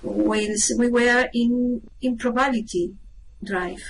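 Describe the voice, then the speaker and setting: A woman playing a calm, practical spaceship crew member in an amateur radio play. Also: fairly high pitch, takes it slowly, low-quality recording. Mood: matter-of-fact. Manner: patient, matter-of-fact, reassuring. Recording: noticeable background noise.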